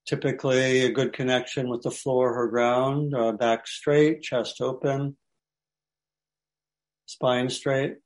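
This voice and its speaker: A man teaching meditation, giving light guided instructions in short phrases with pauses between them. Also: mid-range voice, little pitch movement.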